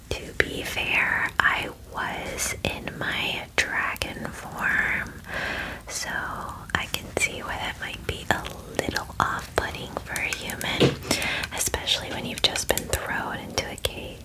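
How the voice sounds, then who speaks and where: A woman voicing a chatty, clingy female dragon in a breathy ASMR whisper, gushing casually like a best friend in a fantasy roleplay. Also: slow, close to an everyday voice, rough recording. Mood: mildly miffed, chatty. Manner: gushing valley-girl chattiness, filler-heavy, casual.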